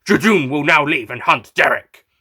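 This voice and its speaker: A blunt alien police captain, acted by a man in an audio story barks clipped official orders. The voice is loud, bright and forceful. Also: fairly high pitch, expressive, moderate pace, slightly rough, voice pushed up, full character voice. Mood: barking, official. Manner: clipped, official, barking phrases.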